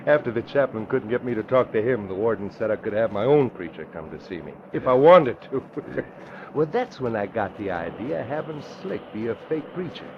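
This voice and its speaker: An actor playing a cocky, sneering escaped convict in old radio crime drama style, with tough slangy talk and chuckles. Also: male voice, mid-range voice, expressive, moderate pace, close to an everyday voice. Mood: gloating. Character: cocky hard-boiled escaped convict gangster, sneering and vengeful. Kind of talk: 1940s radio acting. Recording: muffled, hissy old radio recording.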